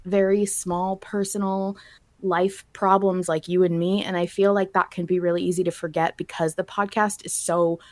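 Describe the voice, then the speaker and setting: A woman's voice: fairly low pitch, little pitch movement, normal speed, a little mellow, no room sound. A woman hosting a podcast in casual, conversational fan chat. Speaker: podcast host.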